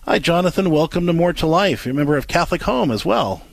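A man's voice: on the high side, lively intonation, quick pace, creaky, no echo. A man co-hosting a call-in radio show, asking probing questions with frequent restarts. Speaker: radio talk show co-host.

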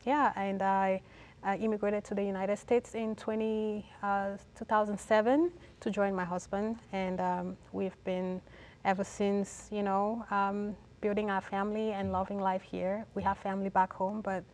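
Middle-aged woman, Cameroonian accent, mid-range pitch, conversational interview guest. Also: level tone, moderate pace, a little mellow. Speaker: guest, executive director.

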